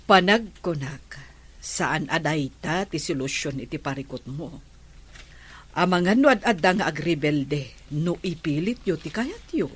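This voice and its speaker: A woman playing a calm, advising middle-aged friend in a drama, with a Filipino accent. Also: low-pitched, unhurried, breathy edge, heavily acted. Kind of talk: Ilocano radio drama acting.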